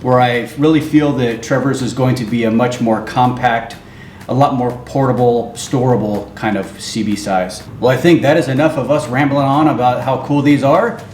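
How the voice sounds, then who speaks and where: A man presenting a casual how-to in an explanatory, measured way, as co-host of a gear channel. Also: medium pitch, fairly even intonation, darkish tone, slight background noise.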